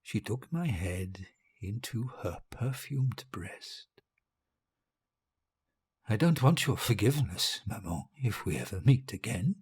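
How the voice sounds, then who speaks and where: Husky, pompous dying dictator. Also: male voice, fairly low pitch, animated, slow pace, a little mellow, full character voice. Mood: wistful. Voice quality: husky, breathy rasp. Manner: pompous, theatrical, savouring words, drifting into murmurs. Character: deposed-feeling murderous dictator facing death, grandiose and bitter. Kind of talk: dramatic monologue in audio drama.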